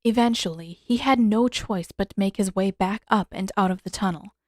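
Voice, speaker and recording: Female audiobook narrator, medium pitch, scripted fiction read, dry recording. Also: moderate pace, a little mellow, dry recording.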